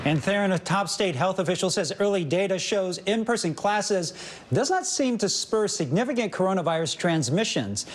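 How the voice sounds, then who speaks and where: A male news anchor reads scripted stories. His voice sits a bit higher than most men's. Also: expressive, normal speed, slight background noise.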